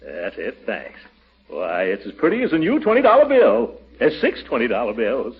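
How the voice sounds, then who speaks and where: Blustering, fussy middle-aged uncle, very animated pitch, hems and haws, dull vintage radio sound. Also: male voice, normal speed, husky, heavily acted. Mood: eager, puzzled. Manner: hesitant hemming, exclaiming. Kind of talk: 1940s radio comedy acting.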